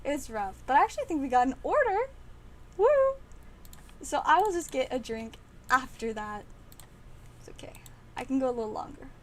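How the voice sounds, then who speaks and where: A woman hosting a card-opening livestream, chatting casually and excitedly, her voice slightly raspy and strained. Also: sits high, normal speed, pauses often, a little hiss or hum. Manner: chatty and excitable, with frequent laughs. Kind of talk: casual livestream chat while opening packs.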